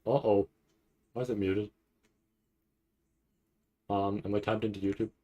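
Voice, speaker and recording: A man's voice: on the low side, level tone, unhurried, dark tone, dull audio. A man streaming in a casual, rambling chat, thinking aloud in fragments and often tagging his points with a questioning check. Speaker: streamer hosting a reaction stream.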